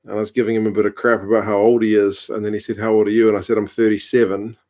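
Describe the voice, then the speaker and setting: A man, hosting a casual live stream from home, reading out viewer comments with a relaxed, chatty manner and dry humour. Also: on the low side, racing. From New Zealand. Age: 30s.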